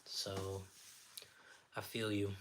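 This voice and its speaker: A man with a naturally raspy, slightly nasal voice, hosting a casual livestream, reading viewer comments aloud and answering them in a friendly, encouraging way, sniffling often. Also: on the low side, monotone, very slow, a little hiss or hum. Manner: friendly, encouraging, chatty with filler words. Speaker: livestream host.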